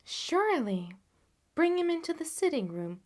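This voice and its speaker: A woman's voice: very high voice, very animated, measured pace, darkish tone, pitched above the performer's own voice, heavily acted. A woman voicing a gracious, composed lady of the house in a storybook reading, polite and kindly. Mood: gracious, concerned.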